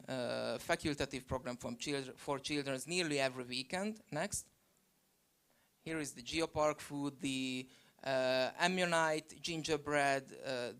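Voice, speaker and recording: A man from Hungary presenting his geopark from slides over a video call, halting with many fillers. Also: a little high, fairly bright tone, smooth.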